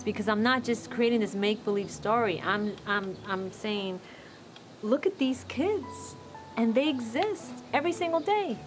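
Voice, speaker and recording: A woman film director speaking off the cuff in an interview, emphatic and effusive, often repeating phrases for stress. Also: mid-range voice. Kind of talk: unscripted behind-the-scenes interview. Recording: music playing behind the voice.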